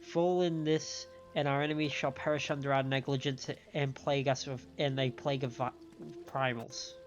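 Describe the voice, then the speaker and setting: Male game streamer, mid-pitched, measured read-aloud and commentary, slightly muffled stream sound over music. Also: level tone. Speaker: livestream host playing and reading a game story aloud. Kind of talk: live game commentary, reading dialogue aloud.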